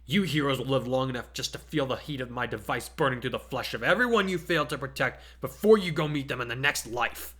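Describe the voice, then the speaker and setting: A man's voice: medium pitch, a little fast, few pauses, fairly bright tone, close to an everyday voice. A man voicing a cocky teenage boy hero-student who plays a menacing villain, in a dramatic story reading. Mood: taunting, menacing. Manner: taunting, menacing mock-villain delivery. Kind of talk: dramatic fan fiction reading.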